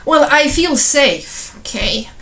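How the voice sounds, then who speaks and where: A woman's voice: high-pitched, big pitch swings, slow pace, sharp tone. A middle-aged Ukrainian woman, a mother speaking earnestly at home and asking to be believed. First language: Russian. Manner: earnest, persuasive, repeats "okay?" and "believe me". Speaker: mother and housewife. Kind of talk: casual monologue to camera. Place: home, at night.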